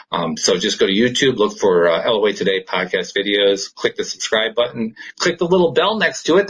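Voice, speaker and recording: A man hosting a casual podcast conversation in an upbeat, enthusiastic manner, with a husky, rough-edged voice. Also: sits low, fairly bright tone, low-quality recording. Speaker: podcast host.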